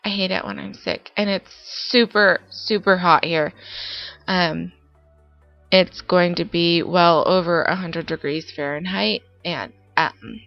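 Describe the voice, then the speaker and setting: A woman's voice: lowish voice, vocal fry. Congested young woman narrating a build. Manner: chatty, rambling with many fillers. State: congested with a summer cold. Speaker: Sims building YouTuber. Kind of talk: casual voice-over narration of gameplay. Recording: dull lo-fi voice-over with faint background music.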